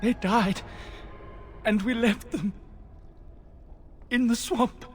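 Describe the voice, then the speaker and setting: An actor playing a mad, traumatised soldier in a video game, rambling in broken, repeated phrases. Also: male voice, extremely high pitch, steady tone, takes it slowly. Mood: delirious, grieving. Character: mad, traumatised male soldier haunted by slaughter. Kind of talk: video game cutscene acting.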